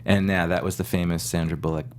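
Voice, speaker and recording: Low-voiced man chatting with restarts. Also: level tone, quick pace, slight background noise. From Southern California. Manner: chatty, laughs often, many restarts. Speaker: actor guest. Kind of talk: casual interview chat.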